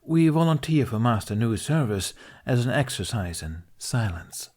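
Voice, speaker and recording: A narrator, a man, plays a patient male teacher in a science fiction story, pitched up from his narrating voice. He talks politely and conversationally, with a wry, mildly exasperated edge. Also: sing-song, normal speed, a little mellow. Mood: wry, amiable. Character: tall, genial, wryly patient male mentor.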